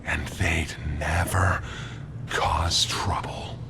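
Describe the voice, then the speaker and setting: A man voicing a haunted, bitter toy creature in a horror game, with hushed, halting, breathy delivery. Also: a bass voice, level tone, whispered, heavily acted. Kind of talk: dramatic horror game acting.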